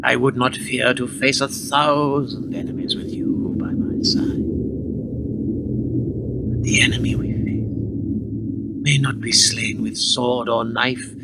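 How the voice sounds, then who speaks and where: A man playing a burdened medieval lord and father in a radio play, speaking solemn, archaic lines in a halting, breathy voice. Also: mid-range voice, level tone, heavy background noise. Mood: grave, fearful. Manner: solemn, halting, drawn-out delivery.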